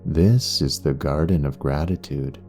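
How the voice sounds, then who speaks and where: A man guiding a meditation in a soothing, unhurried way, leaving long pauses between phrases. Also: a bass voice, a little mellow. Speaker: meditation guide and hypnotherapist. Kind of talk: guided meditation narration. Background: background music.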